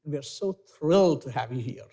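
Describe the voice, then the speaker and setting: A man's voice: mid-range voice, lively intonation, fast, vocal fry, mellow. A man moderating a university lecture, giving a formal, eager introduction and then interviewing the guest, with small hesitations. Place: university auditorium, live audience.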